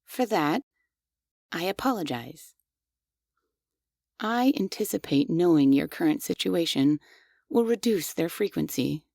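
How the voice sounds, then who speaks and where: A woman voicing a devoted, obsessive female maid robot in a scripted ASMR roleplay. She speaks softly and in measured phrases, close to the mic. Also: fairly low pitch, expressive, gravelly, dry recording, full character voice. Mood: softly apologetic.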